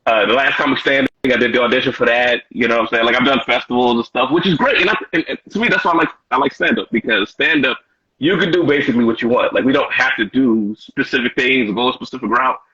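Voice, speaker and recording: A man's voice: mid-range voice, quick pace, sharp tone, breathy edge. A man in his thirties from Washington, D.C., a stand-up comedian telling stories as a guest in a casual interview, slangy and loose, with lots of "you know". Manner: laid-back slangy storytelling with frequent "you know". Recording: dull lo-fi audio.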